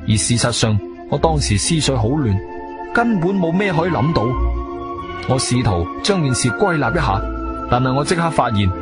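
A man's voice: mid-range voice, lightly acted. An actor with a Guangzhou Cantonese accent playing an inquisitive, self-assured investigator who tells his own story in a theatrical radio drama style. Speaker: narrator and lead actor. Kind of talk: Cantonese radio drama, first-person narration and dialogue. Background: music.

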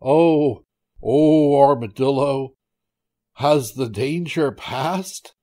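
A man voicing a big savage tiger in a storybook, greedy yet easily frightened, pleading and flattering in drawn-out phrases. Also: medium pitch, gravelly, husky, heavily acted. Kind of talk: storybook character voice.

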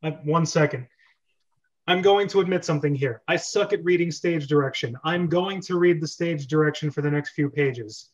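Young male host, fairly high voice, casual script-club direction over a muffled video call. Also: normal speed. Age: young adult. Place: small bedroom, on a video call. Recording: dull lo-fi video-call audio.

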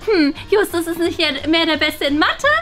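Squeaky, whiny schoolgirl diva. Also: unhurried, a bit bright. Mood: gloating. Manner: exaggerated, whiny. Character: vain, dramatic, fashion-obsessed schoolgirl diva. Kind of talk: improvised children's role-play comedy.

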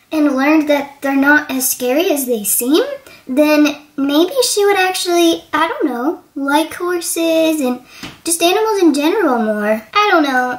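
A woman voicing a kindly, patient female stable hand, polite and gentle, in a children's role-play story. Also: sits high.

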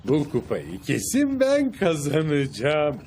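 A man's voice: fairly high pitch, huge pitch swings, takes it slowly, exaggerated voice. A man playing a showy, overconfident car owner in a Turkish-dubbed cartoon. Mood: boastful. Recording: loud vehicle noise behind voice.

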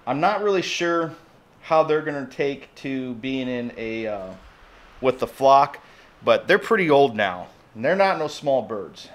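A man in his thirties with an American accent, chatting casually to camera in a folksy, colloquial way as a homestead vlogger. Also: mid-range voice. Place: outdoors in a yard and in a garage. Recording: background noise, wind outdoors, birds.

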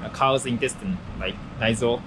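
A man's voice: mid-range voice, normal speed, heavy background noise. A man hosting a casual dinner interview in English, asking questions with lots of fillers. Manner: friendly, prompting questions, filler-heavy. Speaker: host. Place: small restaurant indoors.

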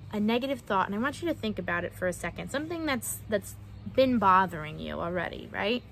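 Casting: young woman, children's read-aloud presenter, very lively intonation, questioning delivery, recorded outdoors with slight background noise. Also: medium pitch. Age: young adult. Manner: expressive, questioning, addressing young listeners. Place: outdoors on a wooden backyard deck.

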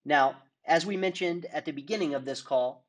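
A man walking viewers through a software product demo in a steady, explanatory presenter's manner. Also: fairly high pitch, normal speed. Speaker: product demonstrator.

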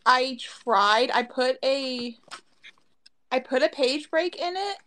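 A woman talks over a webcam in a fairly high voice. She is chatty and casual, and "like" fills almost every sentence. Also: animated, slow pace, bright, forceful tone. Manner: chatty, heavy use of "like". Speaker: author guest. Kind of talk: casual video call panel chat. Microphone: video call webcam.

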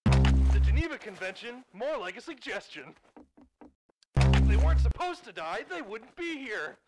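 A man playing a high-voiced, gleefully callous soldier. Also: huge pitch swings, normal speed, loud music behind the voice. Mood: gleeful mockery. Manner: sarcastic, mocking. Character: gleefully callous male military war criminal, sarcastic. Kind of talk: video game announcer voice pack.

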